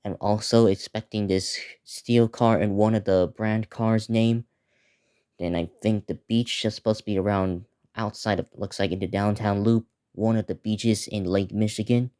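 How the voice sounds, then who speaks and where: A teenage male video creator giving a casual, unscripted preview, talking in a halting, repetitive way as he lists things. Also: on the low side, steady tone, mellow, clean tone. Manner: halting, repetitive, listing place names.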